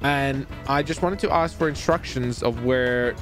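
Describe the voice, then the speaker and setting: A man giving excited, playful gaming commentary in a theatrical way, often exclaiming and repeating his phrases. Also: medium pitch, a little slow, music in the background. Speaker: gamer and video host.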